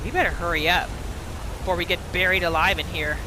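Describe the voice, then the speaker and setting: A woman's voice: fairly low pitch, fairly even intonation, fast talker, noisy background. A woman narrating a roleplay game playthrough, reacting with exclamations and talking to the game's characters. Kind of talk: reactive let's-play commentary.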